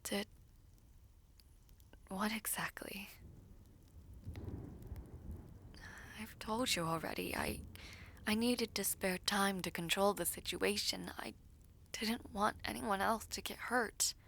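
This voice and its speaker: A woman playing a guilt-ridden, weary young villainess sorceress in an intimate audio roleplay, speaking haltingly with breathy, half-whispered softness. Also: mid-range voice, slight background noise. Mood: tense, soft.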